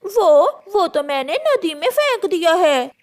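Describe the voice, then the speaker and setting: Lady doing a nervous mama crow, high voice, kinda defensive, cartoon. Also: big pitch swings, takes it slowly, full character voice. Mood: worried. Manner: worried, defensive. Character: anxious, evasive mother crow. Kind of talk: cartoon story dialogue.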